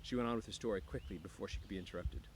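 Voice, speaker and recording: A man's voice: fairly low pitch, racing, a little hiss or hum. A man reading a novel aloud as narrator, occasionally stumbling and rereading words. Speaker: audiobook narrator.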